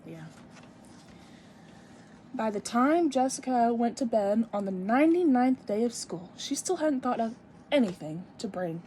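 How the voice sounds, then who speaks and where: A woman's voice: on the high side, lively intonation, unhurried, pauses often, mellow, slight background noise, rough recording. A woman in her twenties reading a picture book aloud expressively for young children, with teacherly emphasis and asides. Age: 20s.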